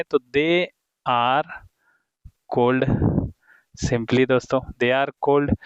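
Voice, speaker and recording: A man teaching English in Hindi who reads the English example sentences slowly and deliberately and keeps calling his viewers friends. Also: medium pitch, clear, resonant tone, no echo, muffled recording. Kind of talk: explaining a textbook lesson to viewers.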